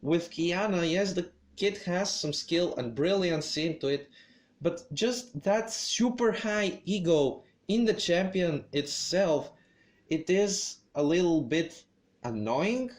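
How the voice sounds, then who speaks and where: Slow, hesitant man giving game commentary. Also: high voice, lively intonation. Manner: hesitant, many fillers and restarts; opinionated, measured. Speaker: gaming video creator.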